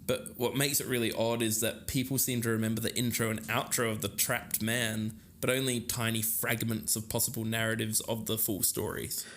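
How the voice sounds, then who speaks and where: A man's voice: lowish voice, little pitch movement, normal speed, few pauses, a bit bright, slight background noise. An Australian man co-hosting a podcast in a conversational style. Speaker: podcast co-host.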